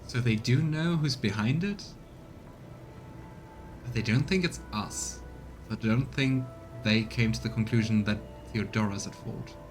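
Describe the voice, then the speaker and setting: A man's voice: medium pitch, measured pace. A man giving casual let's play commentary as a game streamer. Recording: music behind the voice. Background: game music.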